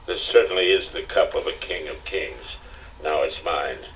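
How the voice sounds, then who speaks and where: A greedy, gloating villain, acted by a male narrator, commands in a deep voice. It is adventure audiobook menace, slightly distant on a noisy tape. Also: normal speed, fairly bright tone.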